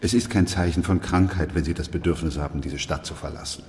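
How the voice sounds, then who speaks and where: An actor playing a weary, sober doctor and narrator in a German radio play, speaking in a measured, restrained way. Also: male voice, low-pitched, darkish tone, a little hiss or hum, natural voice. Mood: quiet, resigned. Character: weary, sober male doctor who narrates a plague chronicle.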